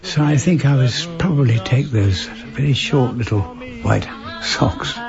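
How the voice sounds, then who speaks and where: An older man sharing a personal reminiscence in a halting, reflective way. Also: mid-range voice, vocal fry. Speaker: actor. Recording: dull recording with music behind. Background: music.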